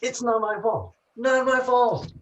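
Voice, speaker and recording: A man's voice: extremely high pitch, unhurried, fairly bright tone, poor audio. A man playing a condemned, lovestruck small-time crook with slangy tough-guy talk in a noir radio play. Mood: protesting. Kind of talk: 1940s noir radio acting, live community theatre.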